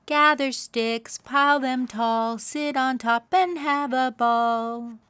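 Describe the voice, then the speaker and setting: Silly little owl kid done by a lady reading a storybook, bouncy singsong, kinda high. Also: takes it slowly, a bit bright, clear, resonant tone, no echo. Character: playful, silly young male owl.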